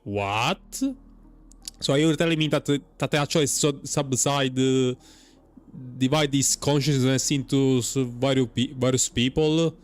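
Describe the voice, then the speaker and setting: A man giving casual gameplay commentary, reacting in a halting, rambling, informal way. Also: medium pitch. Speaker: gamer playing and reacting.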